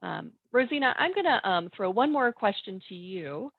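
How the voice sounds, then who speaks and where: A woman moderating a webinar's questions, polite and filling pauses with frequent ums. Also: medium pitch, expressive, no echo. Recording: dry, phone-like sound.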